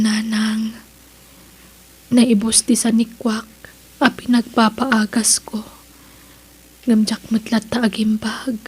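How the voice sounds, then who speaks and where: Husky, high-voiced sorrowful mother played by a woman. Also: darkish tone, heavily acted. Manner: tearful, slow, heartfelt. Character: sorrowful, forgiving mother in a family melodrama. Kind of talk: Ilocano radio drama acting.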